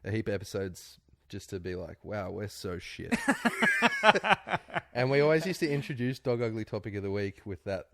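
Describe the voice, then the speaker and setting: A man co-hosting a casual podcast, hungover and worn out, joking and laughing loudly. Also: fairly low pitch, moderate pace, no echo, clean studio sound. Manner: loud, laughing, joking banter. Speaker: podcast co-host. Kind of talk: casual chat.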